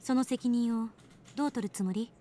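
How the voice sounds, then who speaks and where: A woman's voice: on the high side, racing, dark tone, natural voice. A woman playing an argumentative, skeptical young scientist in a visual novel, with a challenging, insistent manner. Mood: stern challenge. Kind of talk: Japanese visual novel voice acting.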